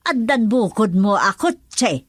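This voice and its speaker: A woman's voice: medium pitch, sing-song, slow, husky, no room sound, heavily acted. A woman with an Ilocano accent playing a chatty, inquisitive village woman in a radio drama. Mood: excited.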